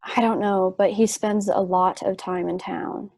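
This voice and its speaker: A woman playing a sick, trusting wife in a stage play reading, wistful and gently earnest. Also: mid-range voice, fairly even intonation, unhurried, gravelly, no echo. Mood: weak, worried.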